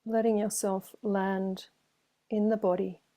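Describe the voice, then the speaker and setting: Soft mellow woman guiding meditation. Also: medium pitch, little pitch movement. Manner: slow, gentle, soothing delivery with long pauses. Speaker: meditation teacher. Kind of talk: guided meditation.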